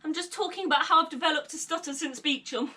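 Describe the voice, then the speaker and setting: A woman's voice: high-pitched, fast talker, sharp tone. A young woman vlogging candidly from her bedroom, with an occasional stutter and nervous laughs. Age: young adult. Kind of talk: personal confessional vlog. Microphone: camera mic at a short distance. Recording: slightly distant camera mic.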